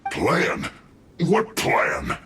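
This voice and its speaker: A man's voice: medium pitch, wildly swooping pitch, very slow, a bit bright, reverberant, slight background noise, full character voice. An actor playing a sullen, defensive male enemy soldier in a video game, answering grudgingly.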